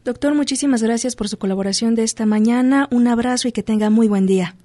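A woman's voice: a little high, fast, warm tone. A woman with a Mexican accent presenting live news as a radio host in a studio.

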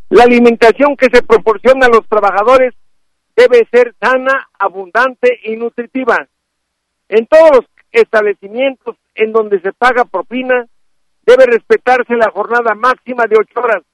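A man's voice: extremely high pitch, fairly even intonation, moderate pace, no echo, low-quality recording. A man with a Mexican accent giving a formal, courteous prepared radio commentary. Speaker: radio labour law commentator.

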